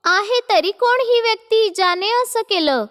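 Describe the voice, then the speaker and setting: A woman doing a shrill disgusted party guest. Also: lively intonation, unhurried, fairly bright tone, in a large hall, heavily acted. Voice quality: shrill, squealing.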